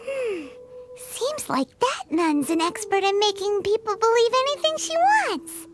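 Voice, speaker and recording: A woman's voice: sing-song, a little slow, very noisy, heavily acted. A woman voicing a tiny female pixie companion in a video game, chatty and blunt, who talks about herself in the third person. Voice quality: high, squeaky childlike voice.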